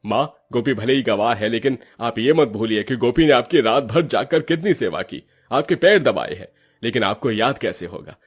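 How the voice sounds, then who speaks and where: A man voicing an indignant, emphatic young husband with a husky voice in a moral story. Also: medium pitch, lively intonation, normal speed. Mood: protective. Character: exasperated young husband, scolding yet protective. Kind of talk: dramatized Hindi moral story dialogue.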